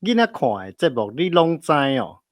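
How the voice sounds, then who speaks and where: A woman's voice: low-pitched, big pitch swings, moderate pace. A woman playing a boisterous, teasing grown-up in a family radio drama, exclaiming theatrically with a Taiwanese accent.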